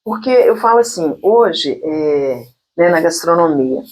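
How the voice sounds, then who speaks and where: A middle-aged woman with a Minas Gerais accent hosts a relaxed conversation. Her voice is somewhat low and husky. She talks in a chatty way, full of fillers and restarts. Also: a little slow. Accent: Minas Gerais Portuguese. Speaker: host. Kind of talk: casual interview chat.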